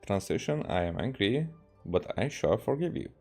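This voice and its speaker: A man from Hungary, a native Hungarian speaker, doing casual gameplay commentary and reading text aloud haltingly. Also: lowish voice, big pitch swings, darkish tone, faint music behind. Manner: halting, re-reads stumbled words. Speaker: game streamer.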